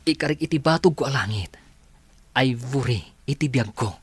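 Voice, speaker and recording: A man's voice: mid-range voice, normal speed, husky, no room sound. An actor with an Ilocano accent playing an earnest young man courting a woman, in a radio drama. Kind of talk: radio drama acting and closing narration.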